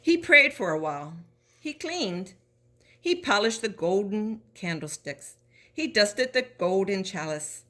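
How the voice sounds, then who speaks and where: A woman reading a children's story aloud in an expressive, animated way, as a storybook reader. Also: medium pitch.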